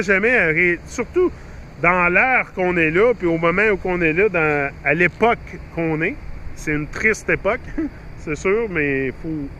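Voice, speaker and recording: Rambling Québécois man, bright voice. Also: fairly high pitch, a little hiss or hum. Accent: Québécois French. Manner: rambling, chatty, frequent fillers and restarts, mixes in English phrases. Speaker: YouTuber. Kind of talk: rambling casual monologue to viewers.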